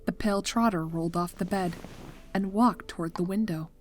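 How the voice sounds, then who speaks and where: A woman's voice: on the low side, moderate pace, darkish tone. A woman reading scripted story narration for a fan fiction audio drama. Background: music.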